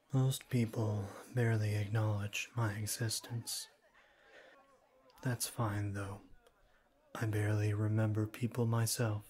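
Man acting shy college-age guy, monotone, deliberate pacing, formal, halting, ASMR roleplay. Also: on the low side. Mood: cool, reserved. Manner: stiff, formal wording, hesitant stammering, deadpan. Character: shy, awkward, formal young male classmate, cool and earnest. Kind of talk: ASMR roleplay, scripted one-sided dialogue.

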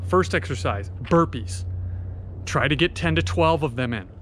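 A man's voice: fairly high pitch, expressive, normal speed, fairly bright tone, a little hiss or hum. A man in his thirties coaching a home workout outdoors on a driveway, explaining the exercises and counting reps into a camera mic. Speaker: fitness instructor. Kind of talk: workout instruction. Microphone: camera mic, often at a distance.

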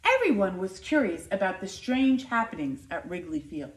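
A woman in her fifties reading a children's picture book aloud indoors, with expressive, deliberate, emphatic delivery. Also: medium pitch, slight background noise. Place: living room by a fireplace, indoors. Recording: roomy, echoing indoor sound.

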